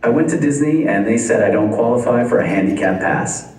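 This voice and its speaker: A young Canadian man performing a stand-up comedy set, delivering dry one-liners deadpan at a live venue. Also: mid-range voice, brisk. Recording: echoey venue from far off.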